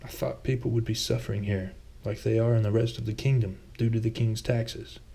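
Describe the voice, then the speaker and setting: A man's voice: lowish voice, level tone, fairly quick, vocal fry, mellow, breathy edge. A man voicing a blunt, sardonic wanderer in a fantasy audiobook, with a wry, laconic, jeering way of talking. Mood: wry, curious. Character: Lucian, sardonic, blunt tobacco-chewing wanderer.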